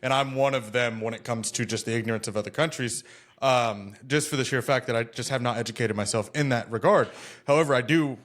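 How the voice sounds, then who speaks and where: A man's voice: medium pitch, brisk, fairly bright tone. A man from the United States hosting a podcast over a video call, talking in an easygoing, enthusiastic way. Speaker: podcast host. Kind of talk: casual interview chat.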